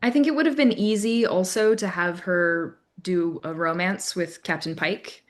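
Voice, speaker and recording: A woman speaks as a guest on a podcast panel. Her voice is slightly low, and she keeps to a casual, conversational style. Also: moderate pace. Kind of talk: casual chat, discussion.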